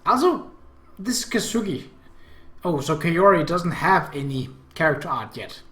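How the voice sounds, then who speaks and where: Let's play host, lively swooping pitch, hesitant critical asides, game commentary and read-aloud text, echoey room. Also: measured pace.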